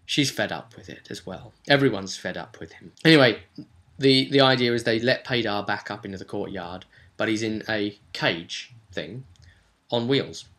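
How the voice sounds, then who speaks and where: A man casually recapping a tabletop roleplaying session as game master, telling it in an animated storytelling way. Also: fairly low pitch. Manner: animated storytelling, slipping into quick character quotes.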